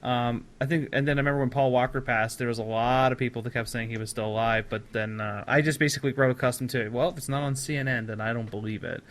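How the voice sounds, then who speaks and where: A man's voice: medium pitch, fairly even intonation, fast talker, hardly any pauses. A man hosting a casual podcast chat about films, speaking in an enthusiastic, effusive manner. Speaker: podcast host.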